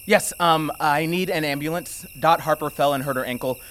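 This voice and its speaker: A man's voice: on the high side, normal speed, fairly bright tone, slight background noise, natural voice. An actor playing an anxious, earnest man offering help in an audio drama. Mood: flustered. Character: anxious, earnest male helper, nervous and caring. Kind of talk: audio fiction acting.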